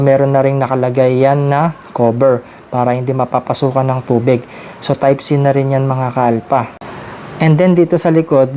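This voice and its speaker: A Filipino man talks through a product in a casual vlog review. His mid-range voice stays fairly even as he explains things informally to viewers. There is faint noise behind him. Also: medium pitch. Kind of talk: casual unboxing and review talk.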